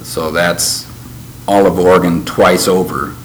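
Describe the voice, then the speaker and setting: Deep-voiced older man, slow and reflective. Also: steady tone. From Canada. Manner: measured, reflective, with frequent pauses and restarts. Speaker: interviewee. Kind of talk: oral history interview. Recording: noisy background ambience.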